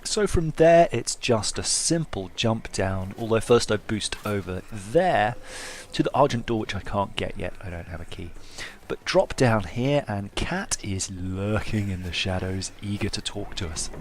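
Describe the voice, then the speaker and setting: Man, lowish voice, casual wry game commentator, pokes fun at himself, faint noise behind. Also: animated, measured pace. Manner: self-deprecating, wryly humorous. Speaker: gameplay commentator.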